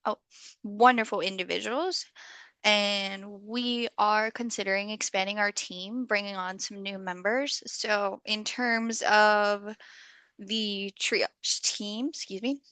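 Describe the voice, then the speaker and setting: Slightly hoarse woman hosting a call. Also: medium pitch, fairly even intonation, takes it slowly, pushed and bright, dry recording. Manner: friendly facilitator, many okays. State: slightly hoarse, losing her voice. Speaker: meeting host. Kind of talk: online community call. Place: video call.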